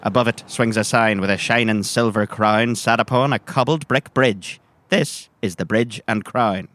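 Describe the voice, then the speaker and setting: A man's voice: on the low side, moderate pace, a bit bright, slight background noise. A man, narrating descriptively as game master.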